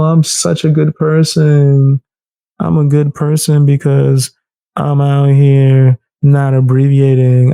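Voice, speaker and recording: Gravelly, dark-voiced man riffing casually. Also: medium pitch, no echo. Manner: loose, laughing, repeats himself, says bro. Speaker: commentary YouTuber. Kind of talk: casual reaction commentary.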